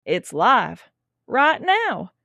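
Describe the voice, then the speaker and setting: A woman's voice: a little high, huge pitch swings, drawn-out words, creaky, pushed and bright, dry recording, highs cut off, heavily acted. A woman playing a tough, blunt female Texas Ranger in a sci-fi audio drama, direct and questioning with a wry edge. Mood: challenging.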